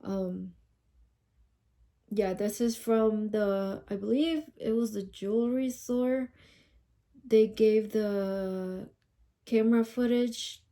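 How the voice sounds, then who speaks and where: A woman's voice: mid-range voice, steady tone, takes it slowly, long pauses, mellow. A sick woman with a stuffed nose, sniffling as she gives casual true-crime commentary, reading news quotes and asking viewers questions. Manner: chatty, asks viewers questions.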